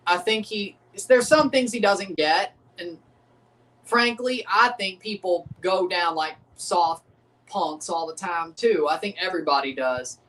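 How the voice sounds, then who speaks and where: A man's voice: high-pitched, measured pace, fairly bright tone, far from the mic, low-quality recording. A man, hosting a casual livestream reaction and rambling profanely while second-guessing himself. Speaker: livestream host reacting to a match.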